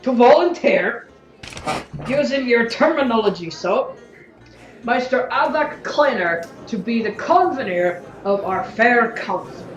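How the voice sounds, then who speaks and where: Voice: medium pitch, expressive, a little slow, reverberant, lo-fi sound, soft background music, lightly acted. An actor playing a fiery, sarcastic young revolutionary council member in an audio drama, arguing points and tagging them with "yeah?". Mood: pointed, officious. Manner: argumentative, sardonic, tags sentences with "yeah?". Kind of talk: audio drama acting, debate speech.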